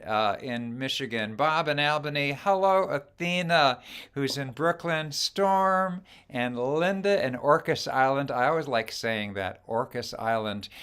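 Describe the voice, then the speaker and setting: A man's voice: fairly high pitch, fairly bright tone, clear, resonant tone. A man hosting an online sing-along over a video call, chatty and cheerful, greeting viewers by name. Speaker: host.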